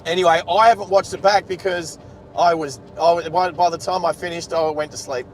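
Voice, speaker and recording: Australian man, fairly high voice, chatty livestream host, casual rambling talk, faint car rumble. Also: fairly even intonation, fairly quick, rough recording. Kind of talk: casual chat. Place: inside a moving car, livestream. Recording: car rumble and road noise.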